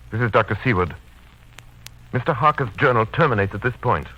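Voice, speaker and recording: An actor playing a sober, formal doctor who narrates gravely in old radio drama style. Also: male voice, medium pitch, close to an everyday voice. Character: sober male doctor formally presenting evidence. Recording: muffled vintage radio recording.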